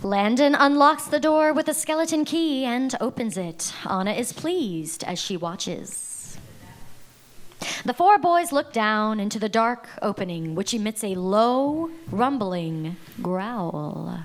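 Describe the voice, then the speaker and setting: A woman's voice: a little high, expressive, takes it slowly. A woman reading stage directions as narrator in a dramatic live table read of a script.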